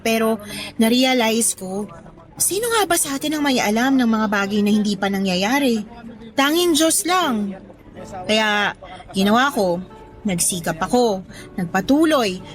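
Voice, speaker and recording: A woman's voice: fairly high pitch, natural voice. An actress playing a grateful, respectful young working woman in a Tagalog audio drama, with a Filipino accent and polite manner. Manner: polite, deferential.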